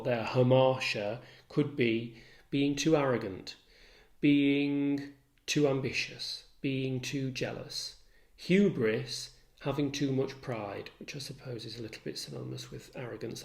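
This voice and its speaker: Slow, deliberate male tutor in echoey room. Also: mid-range voice, fairly even intonation, creaky. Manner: deliberate, formal, precise wording. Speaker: English literature tutor. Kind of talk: explanatory lecture with asides. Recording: echoey, roomy sound.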